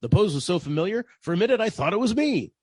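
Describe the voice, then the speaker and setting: Irritable, sardonic male gumshoe, played by a man, higher-pitched and animated, hard-boiled 1940s radio style. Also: quick pace, no echo, clean studio sound. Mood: sardonic narrating. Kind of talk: 1940s radio detective acting.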